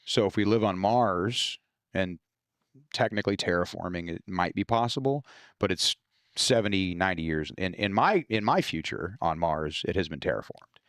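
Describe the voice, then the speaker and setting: A low-pitched American man chats as a podcast guest, an author explaining his work. His delivery is informal and sweary. The recording is dry and close, with little room sound. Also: lively intonation, normal speed, a bit bright, no room sound. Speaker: guest author discussing own novels. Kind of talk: casual podcast conversation.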